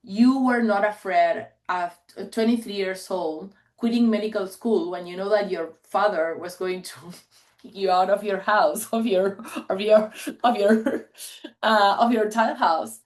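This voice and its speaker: A woman's voice: medium pitch, steady tone, a little slow, darkish tone. Chatty woman in her forties presenting. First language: Spanish. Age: 40s. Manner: earnest, chatty storytelling with frequent laughs. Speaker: guest speaker. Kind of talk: presentation to an online meeting.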